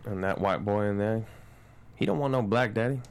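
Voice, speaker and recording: A man's voice: on the low side, normal speed, vocal fry, clear, resonant tone. A man playing a stern, proud, self-righteous father in his late thirties in a screenplay table read, speaking bluntly. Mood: dry, skeptical.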